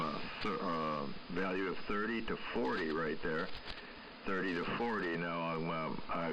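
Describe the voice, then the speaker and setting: A man chatting casually as a ham radio operator over an AM shortwave transmission, halting and thinking aloud. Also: sits low. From United States. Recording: very noisy AM radio, distant sound.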